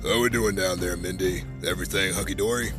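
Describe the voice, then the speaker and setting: Deep-voiced, folksy superhero dad, acted by a man. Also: steady tone, fairly quick, lightly acted. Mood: cheerful, instructive. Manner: folksy, fatherly pet names. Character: chipper, doting father training his superhero daughter. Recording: music behind the voice.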